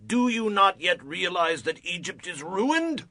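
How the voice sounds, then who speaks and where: An actor playing an exasperated Egyptian official in a dramatized audio Bible, urgent and insistent. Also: male voice, high-pitched, very animated, a little slow, sharp tone, no echo, heavily acted.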